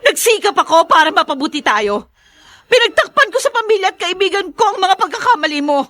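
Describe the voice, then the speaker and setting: A woman's voice: very high-pitched, very animated, fairly quick, fairly bright tone, no echo, heavily acted. An actress playing a bitter, hurt wife at breaking point in a radio drama, tearful and accusing in her melodramatic delivery. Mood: anguished, accusing.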